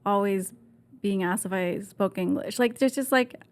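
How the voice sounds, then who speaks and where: A woman in her thirties, born in Vietnam and raised in Canada, talking candidly as a podcast guest, with lots of fillers, restarts and laughs, on a boom-arm mic in a podcast studio. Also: moderate pace. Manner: chatty, laughs often, many fillers and restarts. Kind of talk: candid conversational interview. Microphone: desk mic on boom arm.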